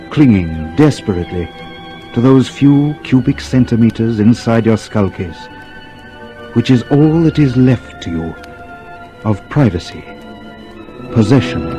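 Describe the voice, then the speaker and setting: An actor playing a grave, ominous narrator in a radio drama, delivering solemn, measured phrases. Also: male voice, fairly low pitch, dark tone.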